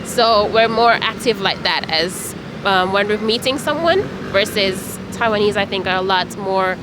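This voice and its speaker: A woman's voice: medium pitch, unhurried. A young woman from Saint Vincent and the Grenadines, answering street interview questions in a friendly, hesitant way with many fillers. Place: city street outdoors. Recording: street noise. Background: traffic and street noise.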